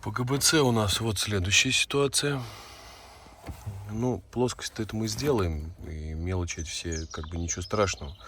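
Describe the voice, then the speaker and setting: A hoarse male mechanic casually walking through an engine teardown in a repair garage, matter-of-fact and a little rueful. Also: lowish voice, moderate pace, fairly bright tone, a little hiss or hum. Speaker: auto mechanic, channel host. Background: music.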